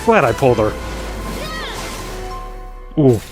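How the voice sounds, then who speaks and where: Animated man commenting on a game. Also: mid-range voice, slow, faint music behind. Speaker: gameplay streamer. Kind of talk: casual gameplay commentary.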